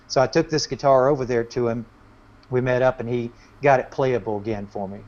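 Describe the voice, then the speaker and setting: A man's voice: medium pitch, fairly even intonation, fairly quick, darkish tone. A man chatting casually as a musician guest in an interview, telling anecdotes in a rambling way. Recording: dull, lo-fi audio.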